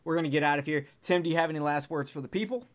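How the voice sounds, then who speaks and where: A man's voice: a little high, racing. A man from the American South hosting a podcast, joking bluntly in casual chat. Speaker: podcast host.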